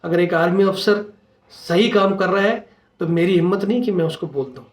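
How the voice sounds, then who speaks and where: A man's voice: high voice, steady tone, moderate pace. A man, a channel host making an earnest, insistent informal appeal to his followers. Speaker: retired soldier speaking as channel host. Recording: echoey room sound.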